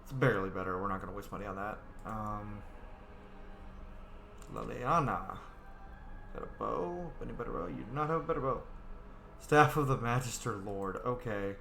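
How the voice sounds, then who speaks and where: A man's voice: lowish voice, normal speed, long pauses, fairly bright tone. A tired man casually commenting as he plays a video game, muttering to himself. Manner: mumbling, talking to himself while playing. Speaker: game streamer. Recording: roomy with clear background noise.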